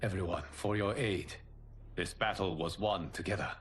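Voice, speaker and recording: An actor playing a resolute, heroic leader in a video game, speaking formally and with command. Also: male voice, low voice, moderate pace, background noise, natural voice. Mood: solemn. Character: solemn heroic male leader, resolute protector. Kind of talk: dramatic video game acting. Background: dramatic music.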